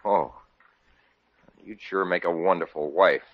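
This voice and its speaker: An actor playing a put-upon, excitable young store clerk and boyfriend in 1940s radio comedy style. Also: male voice, low voice, unhurried. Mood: grumbling. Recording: dull old radio recording.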